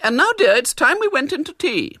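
A man voicing a prim, fussy spinster lady in a storybook reading, with a high, fluttering and hesitant manner. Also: sing-song, fairly quick, sharp tone, no room sound, clean studio sound, heavily acted.

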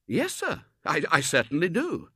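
A man's voice: a little high, huge pitch swings, moderate pace, sharp tone, slightly rough, dry recording, clean studio sound, voice pushed up, exaggerated voice. A man doing a stammering, flustered young man. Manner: stammering, earnest.

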